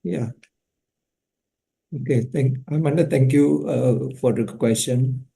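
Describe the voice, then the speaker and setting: A man answering an investor question in halting, deliberate fragments. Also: mid-range voice, vocal fry, dark tone. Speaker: company executive answering questions.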